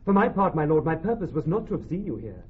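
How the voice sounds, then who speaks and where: An actor playing a polite young gentleman guest in classical radio drama, with a husky voice, formal speech. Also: fairly high pitch, big pitch swings, fast, exaggerated voice. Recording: tinny, phone-like vintage radio sound.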